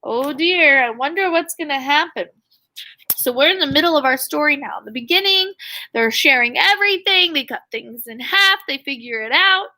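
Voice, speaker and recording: A woman's voice: sits high, lively intonation, moderate pace, pushed and bright, rough recording. A woman teacher reading a story aloud to young children, expressively, with questions and asides. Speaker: elementary school teacher.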